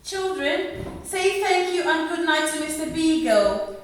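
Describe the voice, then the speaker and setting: A woman's voice: very high voice, animated, unhurried, slight background noise. A woman playing a caring, anxious young working-class mother in a radio play, speaking politely and earnestly. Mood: gentle, polite. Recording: distant, very echoey room recording.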